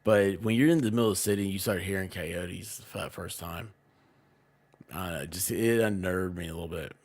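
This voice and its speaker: A man chatting casually as a livestream co-host, with an animated, bantering manner. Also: sits low, normal speed.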